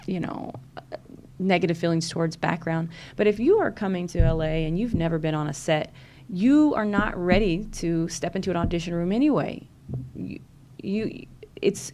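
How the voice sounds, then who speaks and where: A woman's voice: on the low side, a little mellow, a little hiss or hum. A woman from Louisiana with a Southern accent, a podcast guest talking earnestly and encouragingly, with many fillers. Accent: Southern American. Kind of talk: casual interview chat.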